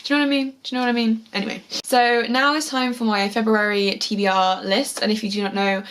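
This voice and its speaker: Hoarse chatty young woman. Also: a little high, fairly even intonation, quick pace, a bit bright. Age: young adult. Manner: chatty, enthusiastic, repeats words for emphasis. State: hoarse, sore throat. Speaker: book vlogger. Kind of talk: casual book chat to camera.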